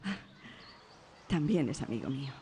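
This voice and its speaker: A woman playing a worried mother in a radio drama, with a Castilian accent and a halting, hesitant delivery. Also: rumbling low, animated, mellow, a little hiss or hum. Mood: polite, probing. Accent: Castilian Spanish. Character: worried, hesitant mother seeking help for her daughter. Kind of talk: Spanish radio drama acting.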